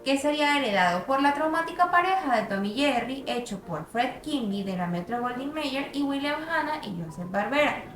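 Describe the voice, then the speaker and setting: A woman's voice: on the high side, echoey room, low-quality recording. A woman reading a scripted narration for a student video essay.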